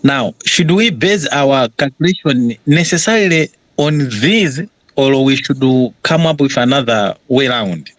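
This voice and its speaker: A man's voice: medium pitch, lively intonation, measured pace, hardly any pauses, a bit bright, no echo. A man asking a question in an online class, polite and tentative as he works through it. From Malawi.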